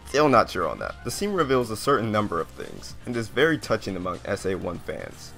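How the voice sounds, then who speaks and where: A man's voice: on the low side, huge pitch swings, a little fast, creaky, a bit bright, faint music behind. A man reading a scripted countdown narration as the host of a video.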